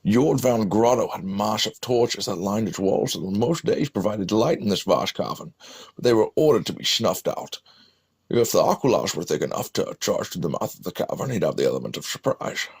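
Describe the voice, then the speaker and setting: A man doing a parody of an old Scottish film star, narrating a mock-epic fantasy battle for a video game in a husky, gravelly voice with halting, muttered asides. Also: fairly low pitch, expressive, a little mellow, heavily acted. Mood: wry, dramatic. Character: parody elderly Scottish screen legend narrating fantasy battle, wryly amused.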